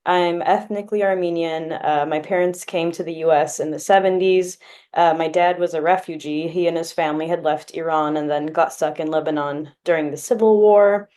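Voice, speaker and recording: Low-voiced young woman explaining earnestly. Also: steady tone, moderate pace, mellow. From Glendale, California, US. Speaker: law student guest. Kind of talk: podcast interview answers.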